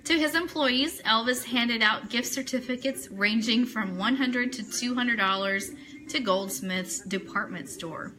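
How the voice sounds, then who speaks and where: A woman's voice: fairly high pitch, measured pace, pushed and bright, poor audio. An American woman hosting an online radio show live, chatting with viewers and reading items aloud in a chatty manner. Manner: chatty, greets viewers by name. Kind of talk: live-stream chat mixed with reading aloud.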